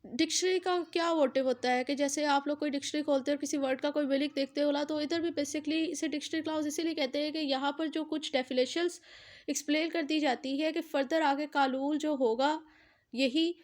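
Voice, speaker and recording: High-pitched Pakistani woman lecturing. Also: fairly even intonation, fairly quick. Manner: mixes in English terms, repeats key points for emphasis. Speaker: law lecturer. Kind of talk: explanatory exam-prep law lecture.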